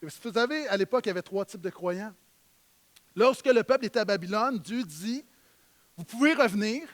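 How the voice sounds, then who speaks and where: A man with a Québécois French accent preaching a sermon in church, emphatic, swinging between loud stresses and quiet low lines. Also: animated, brisk. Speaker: pastor.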